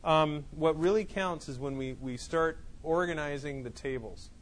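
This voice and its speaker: A man's voice: a little high, measured pace. A man teaching a college class in a classroom, explaining in a loose, repetitive way with many restarts. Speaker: college instructor. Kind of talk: classroom lecture. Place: classroom with students. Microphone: clip-on mic that keeps falling off.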